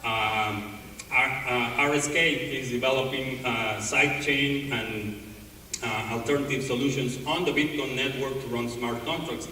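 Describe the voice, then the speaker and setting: A man's voice: mid-range voice, steady tone, a little slow, sharp tone. A man giving a conference talk, full of fillers and restarts. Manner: hesitant, many fillers and restarts. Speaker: conference speaker. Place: large conference hall. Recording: echoey hall, distant mic, faint noise.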